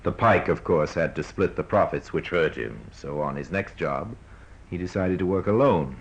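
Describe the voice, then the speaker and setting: A man acting as the grave, wry narrator of an old-time radio crime drama, speaking in measured storytelling style. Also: deep voice, expressive. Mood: wry, ominous. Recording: dull old radio recording.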